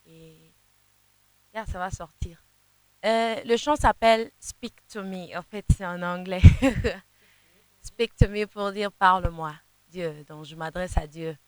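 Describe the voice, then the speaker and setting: A young woman, a gospel singer whose first language is Kinande, answering interview questions in a halting way, often repeating words as she searches for them. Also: on the low side, dry recording, rough recording. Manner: sings softly in places.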